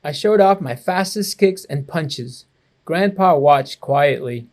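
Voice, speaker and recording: A man reading a children's storybook aloud to students with expressive, clear phrasing, like a school educator. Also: fairly high pitch, takes it slowly. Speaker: assistant principal reading to students.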